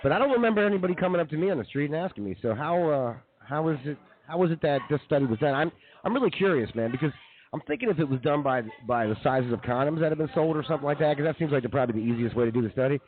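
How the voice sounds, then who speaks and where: Brisk, higher-voiced man, tinny phone-like audio. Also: animated. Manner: colloquial, peppers talk with "man" and "dude". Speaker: comedy radio show co-host. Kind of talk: casual joking banter. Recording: tinny phone-like band-limited sound.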